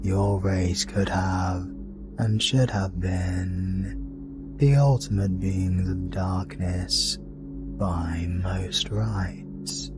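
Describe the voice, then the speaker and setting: A man playing a haughty, cold demon king with a deliberate, disdainful delivery in a fantasy audio drama with music. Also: low voice, steady tone. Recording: music behind the voice.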